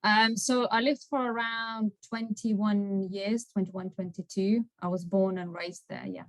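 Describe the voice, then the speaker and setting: A young woman with a Lithuanian accent answers interview questions in a careful, hesitant way. Her voice sits at an ordinary pitch and sounds close and dry, with no room around it. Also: steady tone, measured pace, no echo, rough recording. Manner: thoughtful, hesitant. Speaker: guest.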